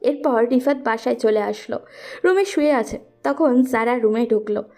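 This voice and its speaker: A woman's voice: a little high, moderate pace, darkish tone. A woman narrating a scripted romantic story.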